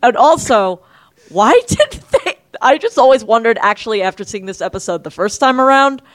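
A woman's voice: on the high side, big pitch swings, moderate pace. A woman in a live audience putting a casual, somewhat tentative question to the hosts. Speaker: audience member asking a question. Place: room at a live show.

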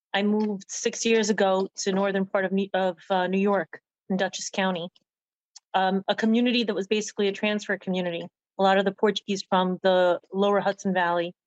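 A woman's voice: medium pitch, no room sound. Woman from the us introducing herself, dead dry muffled mic, kinda hesitant. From United States. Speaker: panelist. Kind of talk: self-introduction.

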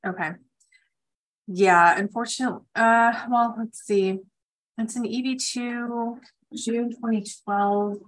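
A woman's voice: fairly high pitch, fairly even intonation, takes it slowly, low-quality recording. A woman attorney hosting a conference call, giving direct, explanatory legal advice in a conversational way.

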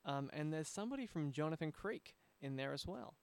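Male podcast host. Gravelly fry, very dry sound, conversational interviewing. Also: fairly high pitch, animated, a little fast, no room sound.

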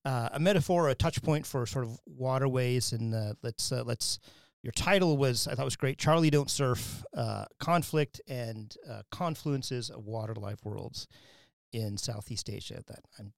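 A man from Wyoming hosting an academic podcast, talking in a loose, rambling way with many restarts and quick laughs. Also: mid-range voice, vocal fry, no room sound. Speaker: podcast host. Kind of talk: casual academic interview.

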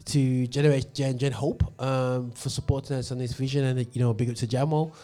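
A man's voice: medium pitch, fairly even intonation, fairly quick, mellow. A man hosting a gospel radio show, ranting passionately with lots of fillers, recorded in a studio. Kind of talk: opinionated radio monologue.

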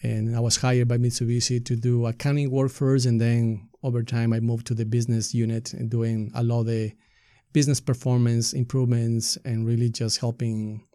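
A middle-aged man with an Ecuadorian Spanish accent speaks in an interview. His delivery is level and unanimated, with a soft, dark tone and a very dry, close sound. He explains his points conversationally. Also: medium pitch, flat delivery, moderate pace, dry recording. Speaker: podcast guest, community activist and soccer coach.

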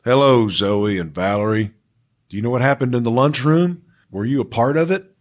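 A man playing a firm, no-nonsense school principal in an amateur radio play, speaking in a measured way. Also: mid-range voice, close to an everyday voice. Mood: questioning, firm.

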